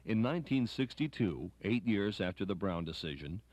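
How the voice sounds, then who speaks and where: A man with a fairly low voice delivers scripted documentary narration. The recording is dry and close, with hardly any room sound around his words. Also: sing-song, moderate pace, darkish tone, dry recording.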